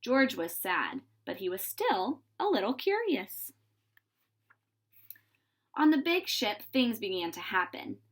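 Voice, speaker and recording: A woman reading a children's story aloud in an animated, dramatizing way, with pauses for suspense. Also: mid-range voice, husky.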